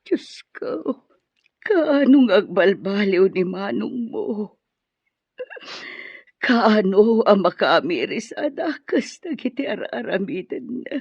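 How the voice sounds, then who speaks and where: Slow, breathy, sorrowful Filipino mother. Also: mid-range voice, lively intonation, exaggerated voice. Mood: anxious, sorrowful. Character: worried, sorrowful, pious Filipino mother of a wayward son. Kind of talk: Ilocano radio drama acting. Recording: muffled, roomy radio drama audio.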